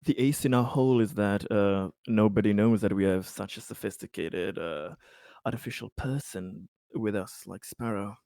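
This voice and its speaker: A man with a low, creaky voice talks as a player in a tabletop roleplaying game. His phrasing is halting and a touch formal, and the sound is close and dry. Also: warm tone, no room sound. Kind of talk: improvised in-character roleplay talk.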